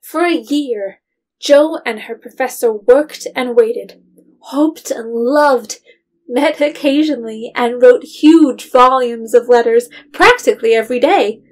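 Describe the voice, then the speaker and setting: A woman's voice: a little high, a little mellow. A woman narrating a period story from a script, as in an audio drama.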